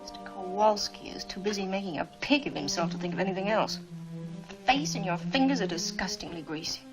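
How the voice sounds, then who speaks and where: An actress playing a fed-up wife scolding her crude husband in a film drama, with a sharp, nagging manner. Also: medium pitch, brisk, music in the background, close to an everyday voice. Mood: disgusted scolding. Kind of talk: theatrical drama acting.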